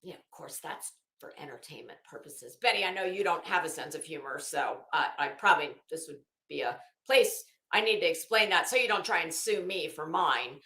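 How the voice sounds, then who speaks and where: A woman's voice: sits low, vocal fry, pushed and bright. A woman reading a document aloud with running commentary, sardonic and incredulous, with blunt, casual swearing. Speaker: host of commentary show. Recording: roomy, echoing sound.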